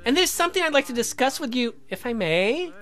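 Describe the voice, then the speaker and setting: A man's voice: very high-pitched, very animated, fairly quick, a bit bright, voice pushed up, exaggerated voice. A man voicing a sweet, eager young woman with a coy, slightly hesitant manner in a visual novel. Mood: sweet, apologetic.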